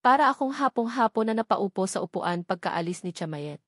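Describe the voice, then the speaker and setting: A woman's voice: medium pitch, expressive, brisk, no room sound. Woman narrating a novel, dry sound. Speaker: audiobook narrator. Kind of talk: scripted first-person novel narration.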